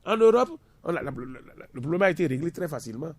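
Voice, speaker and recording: A man in his fifties with a gravelly, slightly high voice explains his views as a radio guest. He lectures with conviction and repeats phrases to drive each point home. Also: very animated, quick pace, no room sound. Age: 50s. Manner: emphatic, repeats words for stress. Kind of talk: radio discussion.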